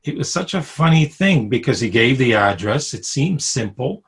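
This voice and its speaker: A man in his sixties from Brooklyn with a New York accent, telling his life story over a video call, with pauses, fillers and frequent soft chuckles. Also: medium pitch, very animated, husky. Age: 60s. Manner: unhurried, chuckles often, many pauses and fillers. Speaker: interviewee. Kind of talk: reflective personal storytelling.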